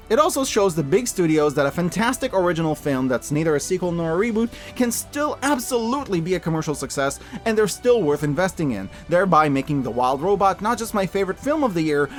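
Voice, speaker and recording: A man narrating a scripted, opinionated review in an emphatic, conversational way with deliberate dramatic stresses. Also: a little high. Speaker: host of a year-end media review.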